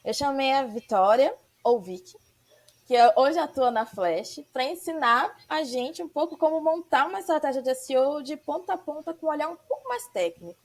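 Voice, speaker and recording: A woman's voice: high-pitched, animated, normal speed. A woman from Salvador with a Bahian accent, hosting a podcast in a casual, chatty way with slang. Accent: Bahian Portuguese. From Salvador, Bahia, Brazil. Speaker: podcast host. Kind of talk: podcast interview.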